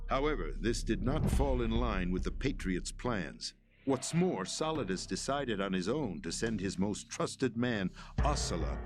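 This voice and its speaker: An actor playing a disillusioned middle-aged president in a video game cutscene, speaking gravely and deliberately with theatrical weight. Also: male voice, lowish voice. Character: disillusioned middle-aged male president, bitter political pawn.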